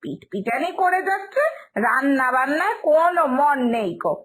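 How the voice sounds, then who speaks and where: Woman acting a boastful, animated Bengali aunt. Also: sits high, normal speed. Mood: catty. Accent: West Bengal Bengali. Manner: chatty, boastful, catty. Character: boastful, gossipy middle-aged aunt proud of her cooking. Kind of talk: Bengali cartoon family drama acting.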